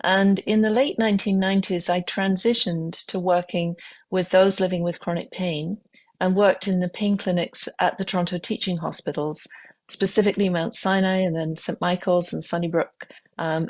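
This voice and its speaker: A woman's voice: fairly low pitch, steady tone, moderate pace, highs cut off. An older woman with a British accent, a physician telling her story in an interview, thoughtful and full of small hesitations. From Britain. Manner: thoughtful, many ums and restarts. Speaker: physician guest. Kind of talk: conversational interview, reflective storytelling.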